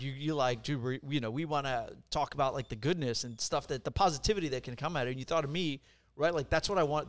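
A man's voice: medium pitch, no echo. A middle-aged man from Puerto Rico, a mortgage lender, talking bluntly and with energy as a podcast guest. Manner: blunt, profane, energetic storytelling. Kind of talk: casual podcast interview. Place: office studio.

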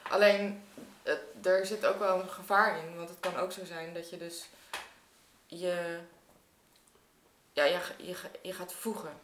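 A woman's voice: fairly low pitch, fairly even intonation, unhurried, long pauses. A woman talking casually in a podcast discussion. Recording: echoey room with faint hiss.

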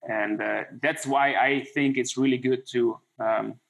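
A man's voice: mid-range voice, fairly even intonation, measured pace, gravelly, poor audio. A middle-aged man, a former hockey player, talking in a casual interview with many restarts and a lot of "you know.". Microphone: remote call.

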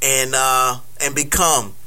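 A man hosting a radio show, interviewing a guest in a slow, halting way with many fillers. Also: mid-range voice, steady tone, bright, forceful tone, clean tone.

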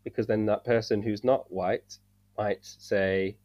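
Man from the UK, podcast guest, measured, earnest, lowish voice, muffled sound. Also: fairly even intonation, a little mellow, no room sound. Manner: earnest, measured, searches for words. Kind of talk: casual discussion. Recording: dull dry sound.

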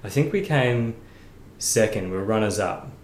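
A man's voice: lowish voice, animated, normal speed, a little mellow. Easygoing Australian man, echoey room. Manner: friendly, hesitant, lots of ums. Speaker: podcast guest. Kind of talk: casual podcast chat. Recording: roomy echo with faint noise.

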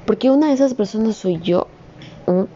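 A woman's voice: mid-range voice, expressive, brisk, dark tone, dull audio, slight background noise. A young woman, a communications student, talking in a rambling, earnest personal vlog that rises into emphatic scolding. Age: young adult.

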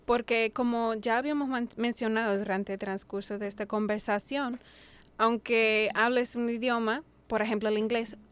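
A woman on a panel giving a measured, explanatory answer. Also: fairly high pitch, steady tone, smooth. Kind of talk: reflective panel discussion.

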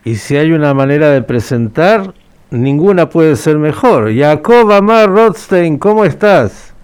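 A male podcast host leads an interview. His voice is smooth and resonant and sits a little high, and he frames each question in a deliberate, formal manner. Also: animated, darkish tone.

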